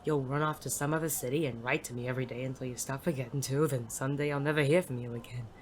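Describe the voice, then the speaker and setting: A performer playing a world-weary, self-doubting male private eye and war veteran in an audio drama, gruff, hesitant and prone to swearing. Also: mid-range voice, fairly even intonation, fast talker, close to an everyday voice. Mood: resigned, tender. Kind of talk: intimate audio drama dialogue. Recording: clearly noisy background ambience.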